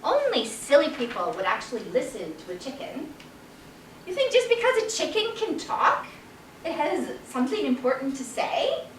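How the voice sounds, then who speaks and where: A strutting, self-satisfied rooster of a chicken, put on by a woman storyteller, proud of himself and sure everyone else is silly. The voice rides high with lively swings in pitch. It comes through a roomy space, a bit away from the mic. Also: moderate pace, slight background noise. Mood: smug. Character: proud male talking chicken, smug. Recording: echoey room, mic at a distance.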